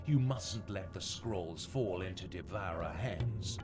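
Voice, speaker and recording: A man playing a solemn dungeon master, giving measured scripted narration in a fantasy video game. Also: low-pitched, loud music behind the voice. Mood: solemn, ominous.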